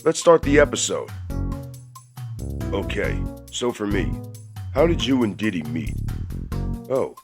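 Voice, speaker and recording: A man's voice: on the low side, very animated, measured pace, long pauses, music in the background. A man playing a big macho jock ape with a laid-back, boastful manner in a cartoon audio drama. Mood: easygoing.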